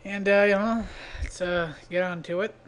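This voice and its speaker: A man's voice: sits high, steady tone, normal speed, slight background noise, rough recording. A man giving a casual, off-the-cuff commentary on his own videos in a self-deprecating, grumbling way. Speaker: creator of an abridged parody series.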